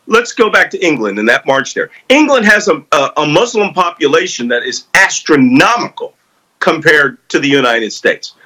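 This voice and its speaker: High-pitched, forceful middle-aged man arguing on a panel. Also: poor audio. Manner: emphatic, argumentative, assured. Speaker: guest commentator with military experience. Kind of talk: political panel discussion.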